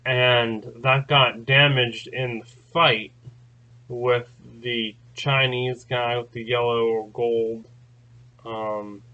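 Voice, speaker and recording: A man's voice: medium pitch, flat delivery, extremely slow, phone-quality sound. A man hosting his own channel, telling a long story in a rambling, casual way, full of fillers and restarts. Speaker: channel host. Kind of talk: casual spoken recounting.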